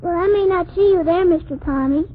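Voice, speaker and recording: A man's voice: very high-pitched, fairly quick, voice pushed up. A man voicing a mischievous, literal-minded little girl with innocent, cheeky comebacks in a 1940s radio comedy act. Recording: tinny, hissy 1940s radio broadcast.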